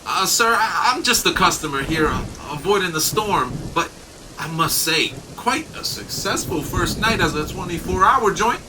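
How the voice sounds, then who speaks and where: Actor as forgetful male customer, stammering, animated pitch, wry, radio drama, distant amid noisy rain. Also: normal speed. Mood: playfully bluffing. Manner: hesitant, stammering, dryly joking. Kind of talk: radio play acting.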